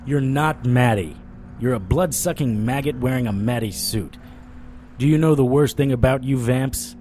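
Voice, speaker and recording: A man's voice: mid-range voice, normal speed, dark tone, a little hiss or hum, natural voice. An actor playing a sardonic vampire-hunting private eye, telling his story in a dramatic noir audio drama style, over music. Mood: defiant, sardonic. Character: hard-boiled, wisecracking male vampire-hunting private eye. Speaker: narrator and lead actor. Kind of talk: first-person noir audio drama narration. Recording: music bed under the voice.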